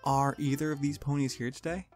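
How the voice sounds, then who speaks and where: A man's voice: medium pitch, little pitch movement, brisk, faint music behind, lightly acted. A man voicing a polite, hesitant young male pony, a protective older brother in an audio story. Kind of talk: character acting in fan audio story.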